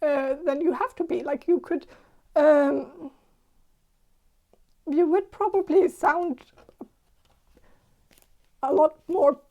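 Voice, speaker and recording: A woman with a Danish accent, Icelandic by mother tongue, giving a casual tarot reading in a throaty, halting voice that searches for words. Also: high-pitched, warm tone, highs cut off. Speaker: tarot reader.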